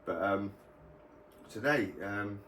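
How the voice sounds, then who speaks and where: A man's voice: fairly low pitch, takes it slowly, a bit bright. A British man giving a casual, rambling explainer as the host of a hobby video channel. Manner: informal, rambling. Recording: roomy, distant mic, faint background noise.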